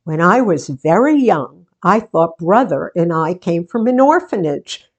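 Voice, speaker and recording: A woman playing a sentimental, playful daughter and hostess in a 1940s-style radio theatre monologue, with deliberate, theatrical delivery. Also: fairly low pitch, very animated, lo-fi sound, heavily acted. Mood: exasperated, teasing. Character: sentimental daughter, book-show hostess, playfully resisting marriage.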